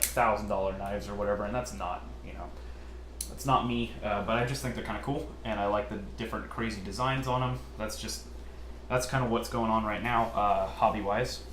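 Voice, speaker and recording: A man from California with a generally deep voice, hosting a casual question-and-answer chat and talking in a hesitant, easygoing way with lots of fillers. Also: a bit bright. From California, USA. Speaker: channel host. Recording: roomy echo, faint noise.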